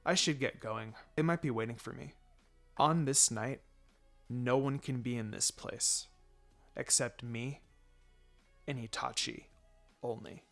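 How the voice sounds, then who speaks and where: A man voicing a young female ninja in a story reading, firm and sardonic but secretly soft-hearted. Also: medium pitch, slow, pauses often, soft background music. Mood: sorrowful, resolved.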